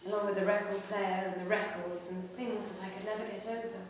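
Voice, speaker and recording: A woman performing a literary short story at a live reading, in an expressive, measured way with pauses between phrases. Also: mid-range voice. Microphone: distant microphone. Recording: very echoey room, distant mic, faint noise.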